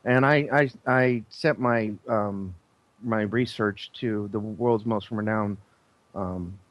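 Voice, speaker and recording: A man's voice: lowish voice, slow, dry recording. A man talking casually as a show guest, explaining things in a rambling, earnest, insistent way.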